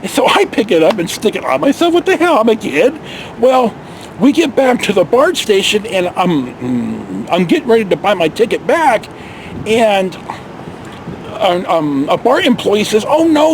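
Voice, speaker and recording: High-pitched, animated older American man, rambling. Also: a little hiss or hum, low-quality recording. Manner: folksy, digressive, mild swearing. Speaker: vlog host telling personal stories. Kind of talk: casual rambling storytelling. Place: outdoors in a park.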